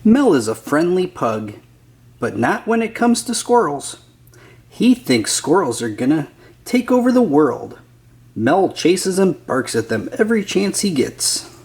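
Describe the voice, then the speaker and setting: Guy reading a kids book out loud, really slow, voice goes up and down a lot. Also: on the high side, big pitch swings. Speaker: children's storybook narrator. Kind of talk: scripted read-aloud narration.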